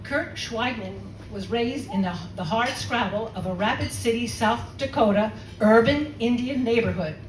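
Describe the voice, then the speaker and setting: A woman's voice: on the high side, normal speed, few pauses, recorded from a distance, background noise. Woman introducing a poet in an echoey room. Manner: earnest, proud introduction. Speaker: event host. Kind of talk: reading a poet's introduction. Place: poetry reading venue, indoors. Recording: echoey room recorded from afar.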